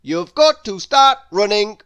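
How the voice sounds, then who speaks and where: Storybook role: bustling, bossy man voiced by a woman, loud, raised, commanding. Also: mid-range voice, expressive, slow, clean tone, pitched above the performer's own voice. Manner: commanding, emphatic.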